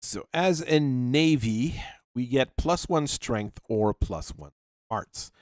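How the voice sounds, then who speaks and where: A man at a middle pitch, thinking out loud as he builds a tabletop game character. He reads rules aloud in a halting, musing way. His voice is close and dry, with no room sound around it. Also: animated, dry recording. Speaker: channel host. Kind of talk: talking through tabletop character creation.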